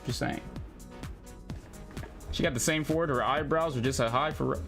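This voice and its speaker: A man's voice: mid-range voice, moderate pace, creaky, a bit bright, soft background music. A man livestreaming a game with casual, jokey, slangy commentary aimed at his chat. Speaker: livestream host reading a visual novel aloud. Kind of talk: casual gaming livestream commentary and read-aloud.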